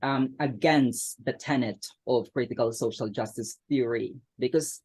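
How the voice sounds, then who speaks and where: A man's voice: mid-range voice, a little slow, darkish tone, breathy edge. Hesitant man, many fillers. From Philippines. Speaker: guest author. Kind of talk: podcast interview answers.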